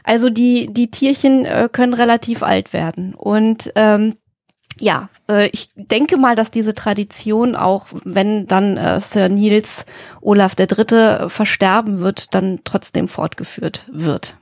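A woman co-hosting a podcast, explaining things casually and often pausing mid-sentence to find her words. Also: mid-range voice, vocal fry, darkish tone, dry recording, studio quality. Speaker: podcast co-host.

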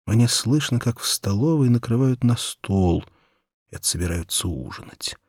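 A man narrating a children's story in an expressive, theatrical first-person reading, moving between hushed and excited delivery. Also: mid-range voice, moderate pace, breathy edge, dry recording, studio quality. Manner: theatrical, animated, swinging from hushed whispers to excited exclamation. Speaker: audiobook narrator.

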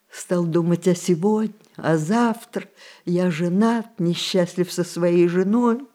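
An actress playing a spirited, rebellious centenarian matriarch, romantic and commanding, in a theatrical radio play style. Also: female voice, lowish voice, warm tone. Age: older.

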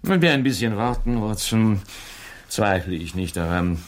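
An actor playing an eccentric detective who reasons aloud in a German radio drama, with a husky, gravelly voice and a musing, declamatory manner. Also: male voice, fairly low pitch, animated, close to an everyday voice. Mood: intrigued, deliberate. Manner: theatrical, musing, declaims read-out notices slowly.

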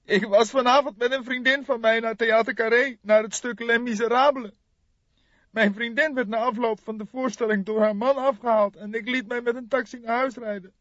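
A man's voice: very high voice, steady tone, normal speed, fairly bright tone, dry recording, lo-fi sound, voice pushed up. A man voicing a distressed young woman, a doctor's assistant, anxious and hesitant, in an audiobook. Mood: shaken, grieving.

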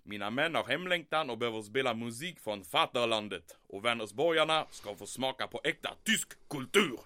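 A domineering German army commandant in an old-time radio play. His delivery is bright and forceful, with big swings in pitch and a dry, close sound. He gloats and orders people about breezily. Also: expressive, no room sound. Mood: bossy, self-satisfied.

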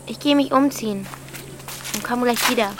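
A woman's voice: a little high, moderate pace, noisy background, natural voice. A woman playing a bold, defiant thirteen-year-old village girl, blunt and impatient, in a radio drama. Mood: brisk, scornful.

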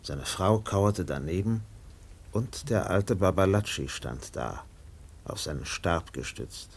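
Low-voiced male radio drama narrator, music behind. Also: lively intonation, breathy edge. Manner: measured, storytelling.

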